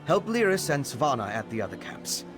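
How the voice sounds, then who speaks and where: A man's voice: medium pitch, very animated, moderate pace, over music, close to an everyday voice. A man playing an eager, articulate scholar of necromancy in a video game. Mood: thoughtful, intent. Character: eager, bookish male scholar researching necromancy. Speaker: game character. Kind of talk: scripted video game dialogue.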